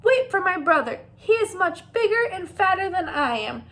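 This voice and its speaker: A woman's voice: extremely high pitch, big pitch swings, normal speed, a bit bright, pitched above the performer's own voice, heavily acted. A woman voicing a small, timid young male goat in a children's storybook, meek and pleading. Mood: frightened pleading.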